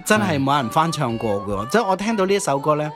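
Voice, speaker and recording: A man hosting a radio talk, telling music history conversationally in an animated, emphatic way with colloquial asides. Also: a little high, fast. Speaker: radio DJ host. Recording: music playing behind the voice.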